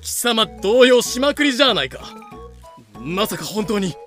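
A man's voice: high-pitched, sing-song, a little slow, a bit bright, heavily acted. An actor playing a pompous, suspicious male fort guard captain who blusters and domineers in an audio drama. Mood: haughty, suspicious. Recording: music bed behind voice.

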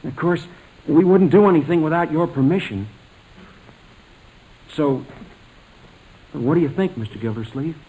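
An actor playing a polite, nervous young suitor in a 1940s radio comedy, speaking in a deferential, hesitant way. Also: male voice, a little high, a little slow, long pauses, mellow, husky, poor audio. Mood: earnest, nervous. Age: young adult.

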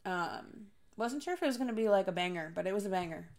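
A young woman co-hosting a podcast in casual chat, sounding stuffy and congested from allergies, chatty and quick to laugh. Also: mid-range voice, expressive, darkish tone. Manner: chatty, laughs often, sisterly banter. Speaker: podcast co-host.

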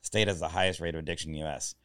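A man's voice: extremely low pitch, steady tone, very fast, a bit bright, no echo, studio quality. A man co-hosting a podcast, chatting casually and sometimes reading items aloud. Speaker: podcast co-host.

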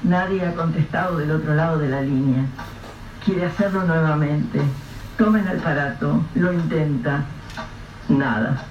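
A man narrating a radio drama in a measured, grave storytelling voice. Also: a little high. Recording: echoey, distant, dull lo-fi with faint hiss.

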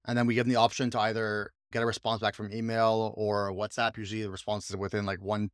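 A man's voice: fairly low pitch, little pitch movement, quick pace, clear, resonant tone, no room sound, clean studio sound. A man hosting a casual podcast, talking up his assistant in an enthusiastic, rambling way with frequent restarts. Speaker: podcast host, coach and business owner.

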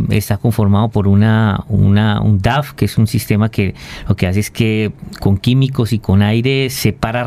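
A man's voice: on the low side, a little mellow, smooth. A Colombian man explaining technical matters informally as an interview guest, hesitating and repeating words as he goes. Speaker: interview guest, waste treatment expert.

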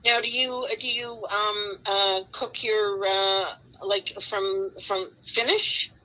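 A woman co-hosting a podcast, her voice bright and forward at a middling pitch. She asks short, friendly questions and answers with quick reactions. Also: fairly even intonation, rough recording. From Canada. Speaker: podcast co-host. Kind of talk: casual interview chat.

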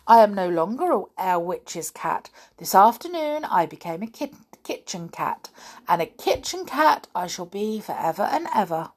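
A woman's voice: mid-range voice, very animated, normal speed. A woman voicing a young male kitten who speaks earnestly and politely.

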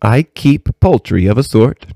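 A man voicing a very old, kindly and mysterious great-great-grandmother in a gentle, patient, measured manner. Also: mid-range voice, expressive, vocal fry, no echo, studio quality, voice pushed up.

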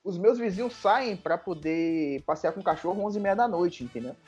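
A man from Salvador, Brazil, talking as a guest on a podcast in a relaxed, hesitant way, often restarting his sentences. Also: high-pitched, normal speed, slightly rough, faint music behind. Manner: hesitant, many restarts and fillers. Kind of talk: casual chat.

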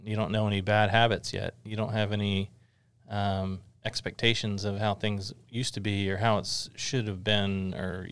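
A man's voice: lowish voice, level tone, normal speed, hardly any pauses, a bit bright, smooth. A middle-aged man hosting a casual interview podcast in an office studio, rambling a little with lots of fillers. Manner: rambling, friendly, many fillers. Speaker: podcast host.

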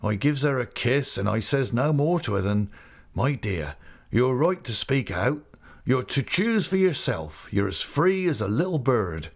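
A man's voice: medium pitch, sing-song, a little slow, vocal fry, voice pushed up, full character voice. A man voicing a hearty old male fisherman who talks in a broad rustic dialect. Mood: earnest.

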